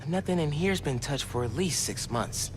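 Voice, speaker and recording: An actor playing an earnest young American superhero, thinking aloud in casual, clipped phrases as in a video game. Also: male voice, a little high, lively intonation, normal speed, noisy background, natural voice. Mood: pensive, focused. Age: young adult. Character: earnest, curious teenage male superhero investigating. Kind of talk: video game voice acting, self-talk while exploring. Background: background music.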